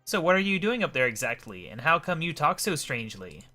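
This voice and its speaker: A man's voice: fairly high pitch, big pitch swings, brisk, pushed and bright, faint music behind, pitched above the performer's own voice. A man voicing an earnest, puzzled adventurer in a fantasy game, politely asking questions. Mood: curious. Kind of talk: reading video game dialogue aloud.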